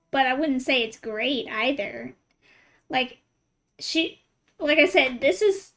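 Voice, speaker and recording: Female game streamer, high voice, informal and chatty, thinks aloud to viewers, faint music underneath. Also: bright, forceful tone. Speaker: game livestreamer. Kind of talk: casual livestream chat with viewers while playing.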